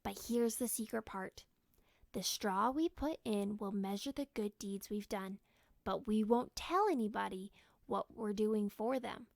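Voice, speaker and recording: A woman voicing an encouraging young mother in a children's storybook, earnest and gently persuasive. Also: mid-range voice, measured pace, creaky, dry recording, clean studio sound. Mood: eager, conspiratorial. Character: warm, encouraging young mother explaining a secret game.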